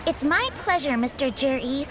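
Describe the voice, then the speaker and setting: A woman playing a courteous, savvy, flattering antiques shopkeeper in a video game. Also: sits high, normal speed, noisy background. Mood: gracious.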